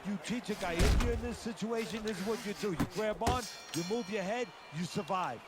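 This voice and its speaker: A man's voice: sits high, fairly quick, over loud music, lightly acted. A man playing an opinionated boxing analyst in a video game, explaining things in an insistent, instructive way. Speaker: boxing color commentator. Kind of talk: sports analysis. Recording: loud game noise behind voice.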